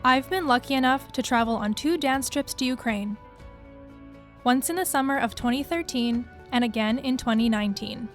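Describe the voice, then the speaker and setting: A woman's voice: sits high, normal speed. Young woman narrating over music. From Canada. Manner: smooth, even, read from a script. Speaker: narrator, dancer. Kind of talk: scripted narration.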